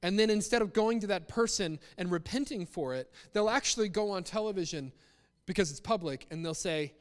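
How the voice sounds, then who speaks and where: A man's voice: sits high, fairly quick, clean tone. A man preaching a sermon in a conversational but emphatic way, repeating phrases for stress. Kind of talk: sermon with acted-out example quotes. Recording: long hall reverb.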